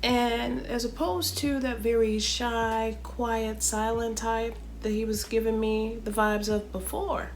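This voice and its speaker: A woman from Chicago, a comedian, narrating a travel story in a chatty, animated storytelling way with playful asides. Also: on the high side, takes it slowly, hardly any pauses, slight background noise. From Chicago, United States.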